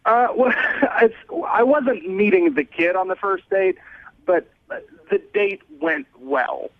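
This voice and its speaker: Male radio caller, higher-pitched, hesitant and evasive, dry narrow phone line. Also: animated, slow, gravelly, no echo. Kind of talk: casual storytelling. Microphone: phone call.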